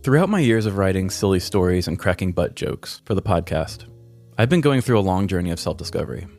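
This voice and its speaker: A man's voice: sits low, sing-song, fairly quick, darkish tone, soft background music. A man hosting a podcast, speaking candidly and earnestly about personal matters in a self-deprecating way. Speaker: podcast host. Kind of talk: candid personal talk, then reading a personal essay.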